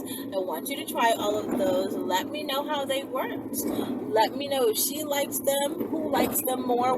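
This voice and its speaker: A woman vlogger with a high voice that swoops up and down as she explains things informally. She checks in with the listener often. She is recorded a little way from the mic inside a car, with loud road noise all around her. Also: recorded from a distance. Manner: animated, checks understanding often. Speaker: vlog host giving instructions. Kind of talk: informal instructional chat to camera. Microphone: camera at arm's length or on dashboard.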